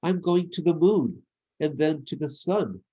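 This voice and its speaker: Man voicing an eager, high-pitched little boy gnome. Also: unhurried, slightly rough, muffled recording. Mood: proud, determined. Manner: excited, wondering.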